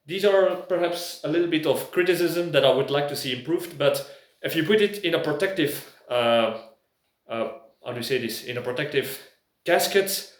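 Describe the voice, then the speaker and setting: Man with higher-pitched voice, echoey room. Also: moderate pace. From Belgium. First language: not English. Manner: hesitant, searching for words. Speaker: prepper video host. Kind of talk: casual product review talk.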